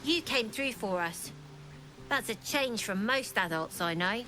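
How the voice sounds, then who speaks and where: A woman's voice: mid-range voice, lively intonation, measured pace, over music, lightly acted. A woman playing a cheeky, scheming young girl in a video game, sly and sardonic in manner. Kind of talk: video game dialogue acting.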